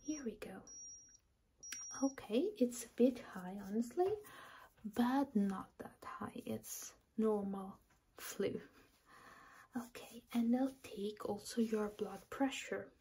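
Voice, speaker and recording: Slow, whispering woman in ASMR doctor roleplay. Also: fairly high pitch, slight background noise, rough recording. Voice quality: breathy whisper, mostly unvoiced. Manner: gentle, reassuring, unhurried explaining. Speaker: ASMR performer playing a doctor. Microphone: close ASMR microphone.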